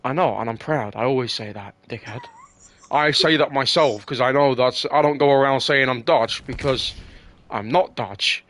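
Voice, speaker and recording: A man's voice: mid-range voice, moderate pace, a bit bright. A man from England in casual, argumentative chat, swearing and often repeating words as he makes his point.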